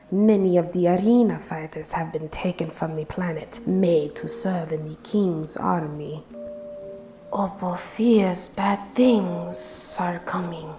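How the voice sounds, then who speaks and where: A woman voicing a timid, towering lizard-like alien who speaks of itself in the third person, trailing into soft whispers. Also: fairly low pitch, noisy background. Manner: refers to self in third person. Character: tall lizard-like alien club owner, timid.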